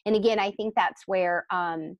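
A woman's voice: mid-range voice, fairly even intonation, moderate pace, no echo, highs cut off. A woman speaking as a podcast guest in a conversational interview, explaining earnestly and emphatically and often rephrasing.

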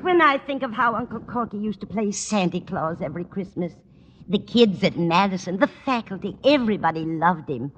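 A woman's voice: medium pitch, animated, brisk, muffled recording, natural voice. Woman playing a fretful, mournful older landlady. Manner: plaintive, dithering. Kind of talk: 1950s radio sitcom acting. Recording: old radio recording, slight noise.

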